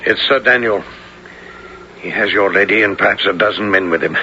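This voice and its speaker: An actor playing a practical fellow fighter in a radio drama, giving grave, hushed counsel. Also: male voice, low-pitched, fairly quick, full character voice. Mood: grave, watchful. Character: steady, practical male scout and fellow fighter. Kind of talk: 1970s radio drama acting. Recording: muffled, noisy old radio broadcast.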